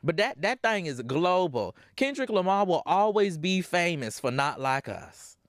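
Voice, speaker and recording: A sassy, emphatic man chatting as a podcast guest. His voice is a touch high with a lively, bouncing melody, and it is recorded close with no room sound. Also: unhurried, dry recording. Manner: sassy, emphatic, tags lines with "okay?". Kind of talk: casual chat.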